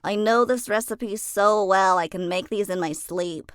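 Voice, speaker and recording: A woman's voice: medium pitch, a little slow, fairly bright tone, clean tone, no echo, clean studio sound, close to an everyday voice. Woman voicing a confident storybook elephant baker, emphatic.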